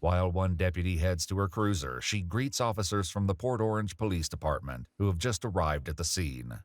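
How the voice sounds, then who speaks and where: A man delivers scripted true-crime narration. His voice is low and deep, recorded dry and close. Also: expressive, fairly quick, dry recording.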